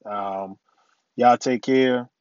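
A middle-aged man hosting his own podcast in a casual, rambling way, full of "you know" and "man", his voice a little raspy from allergies. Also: mid-range voice, steady tone, very slow, darkish tone, no echo, lo-fi sound. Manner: laid-back, rambling, many "you know" and "man" fillers. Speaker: podcast host. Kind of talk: casual solo podcast talk.

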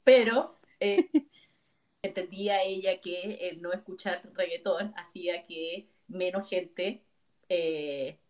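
A woman in her forties co-hosting a casual podcast, chatty and quick to laugh. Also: lowish voice, a little mellow. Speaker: podcast co-host.

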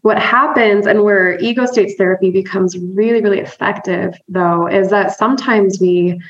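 A woman's voice: medium pitch, fairly even intonation, normal speed. A woman therapist and trainer giving an upbeat, conversational explanation as an interview guest, laughing often and checking in with "right?". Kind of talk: casual explanatory interview talk. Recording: roomy, slightly dull remote audio.

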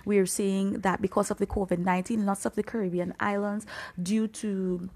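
A woman's voice: mid-range voice, level tone, normal speed, a little mellow. A woman with a Trinidadian accent giving an informal Bible teaching in an earnest, emphatic, exhorting manner. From Trinidad and Tobago. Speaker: preacher, podcast host.

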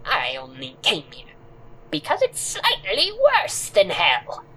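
Man playing a venomous, slow-talking old woman customer. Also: high-pitched, huge pitch swings, bright, forceful tone, voice pushed up, heavily acted, rough recording. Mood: scornful. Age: older. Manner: venomous, grandiose complaining, dramatic insults. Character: decrepit, cranky, homicidal-looking old woman customer. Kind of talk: audio drama character acting.

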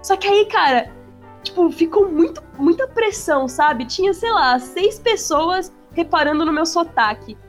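A woman with a São Paulo accent chatting casually, full of slang and swearing, speeding up when she gets excited. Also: very high-pitched, a little slow, faint music behind. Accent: São Paulo Portuguese. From São Paulo, Brazil. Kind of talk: casual chat.

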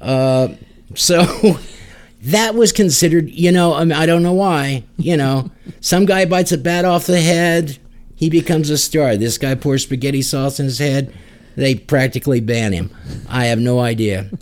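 A man's voice: on the high side, a little slow. A man hosting a casual music podcast, chatting in a joking, rambling way. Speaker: podcast host.

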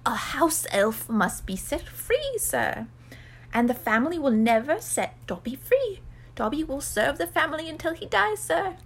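A woman voicing a small male house elf in a storybook reading, squeaky and high-pitched, earnest, pleading and servile. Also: big pitch swings, a little slow, creaky, heavily acted. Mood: anxious, deferential. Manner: earnest, pleading, speaks of self in third person, deferential.

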